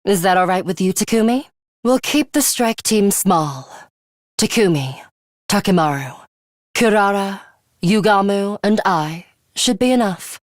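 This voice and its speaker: A woman's voice: mid-range voice, lively intonation, a little slow, dry recording, clean studio sound, close to an everyday voice. A woman playing a bloodthirsty, disdainful young female student commander in a video game, haughty, taunting and commanding. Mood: serious, measured.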